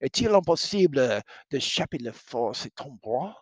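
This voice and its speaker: Man acting out pleading French soldier, frightened and halting, stage-play read-aloud, dry close recording. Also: medium pitch, sing-song, breathy edge, dry recording. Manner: halting, imploring delivery.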